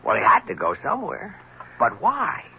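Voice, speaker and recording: A dull old radio mystery recording carries a male newspaper reporter. He is persistent and probing, with a gravelly, forceful voice that swings up and down as he presses his clipped questions. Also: mid-range voice, huge pitch swings, moderate pace, a little hiss or hum. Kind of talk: 1970s radio mystery drama acting.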